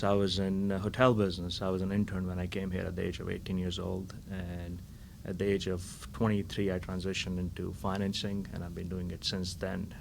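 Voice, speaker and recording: A man's voice: deep voice, creaky. A middle-aged man from India answering interview questions about his business in a polite, upbeat way. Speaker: guest, lending firm founder. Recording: noisy recording.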